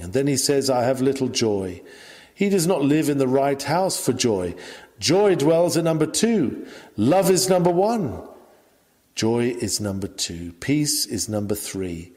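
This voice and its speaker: A man reading an old sermon aloud in a measured, emphatic preaching delivery. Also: mid-range voice, a little mellow. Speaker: reader of a historical sermon. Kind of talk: sermon reading, scripted oratory. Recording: long hall-like reverb.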